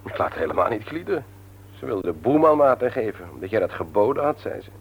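A man's voice: on the low side, sing-song, quick pace, breathy edge, exaggerated voice. An actor playing a mild, hesitant office manager and family man in a Dutch radio play, polite and apologetic. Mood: subdued, apologetic. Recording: muffled old radio play recording.

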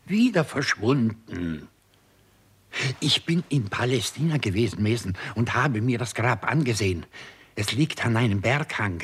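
An actor playing an obsessed, brooding scientist in a 1960s German radio crime drama, speaking in a husky, fervent, halting, theatrical way. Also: male voice, medium pitch, a bit bright, full character voice. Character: obsessed male scientist fascinated by raising the dead.

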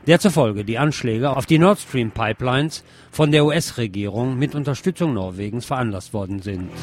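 A man hosting a program, reading press articles aloud and adding brief comments of his own. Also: medium pitch.

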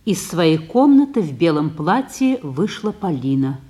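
A woman's voice: on the low side, sing-song, a little slow, mellow. A woman narrating stage directions for a recorded play in a scripted style.

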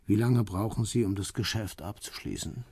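An actor playing a cool, commanding undercover operative in a radio crime drama, giving terse, businesslike instructions in a gravelly voice. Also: male voice, on the low side, fairly even intonation, normal speed, a little mellow, dry recording, studio quality. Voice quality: gravelly, husky.

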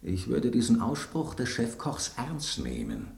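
An actor in a German radio drama playing an aging, worldly bread loaf who loves fine food, speaking in a theatrical, knowing, pointed way. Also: male voice, medium pitch, wildly swooping pitch, measured pace, creaky, a little mellow, reverberant, exaggerated voice. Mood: suspicious. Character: aging bread loaf, male, worldly gourmet, suspicious, self-assured.

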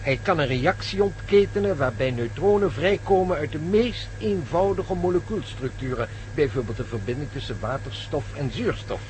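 An actor playing an analytical male professor in a radio play, precise but halting when he explains. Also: fairly high pitch, slight background noise, close to an everyday voice, rough recording. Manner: precise, hesitant with "eh".

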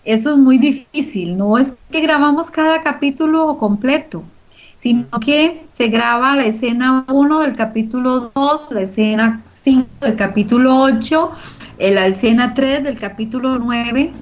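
A woman's voice: high voice, unhurried. A woman explaining things conversationally as an interview guest. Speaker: interview guest, radio drama producer. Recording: dull lo-fi audio, music behind. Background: clear background music.

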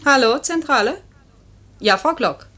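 A brisk female telephone operator in a 1950s German radio play. Her voice is on the high side, clipped and businesslike, with a pert streak. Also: animated, pushed and bright, slight background noise, full character voice.

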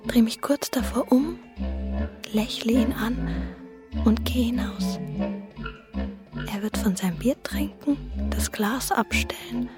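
High, breathy young Bavarian girl. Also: slow pace, long pauses, over loud music. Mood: dreamy, secretive. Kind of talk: radio drama monologue and dialogue.

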